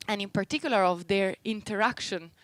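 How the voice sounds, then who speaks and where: A woman's voice: medium pitch, brisk, a bit bright, dry recording. A young woman from Rome with an Italian accent, giving an informal academic talk and explaining things step by step as she goes. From Rome, Italy. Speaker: postdoctoral researcher giving a seminar. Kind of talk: informal academic presentation to a live and streamed audience.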